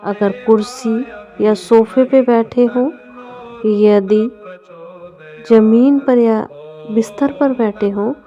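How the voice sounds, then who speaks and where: A woman guides a meditation in a soft-edged, mellow voice at an extremely slow pace. Her phrasing is gentle and deliberate, stretched out with long pauses between instructions. Faint music plays behind her. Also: a little high, steady tone. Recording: dull lo-fi audio with music. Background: background music.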